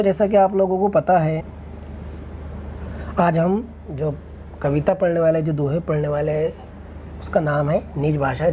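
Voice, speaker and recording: Woman teacher, low mellow voice, patient and repetitive, checks in the class often, faint hiss behind. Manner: explains slowly, repeats phrases, asks students if they follow. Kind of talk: online class lecture.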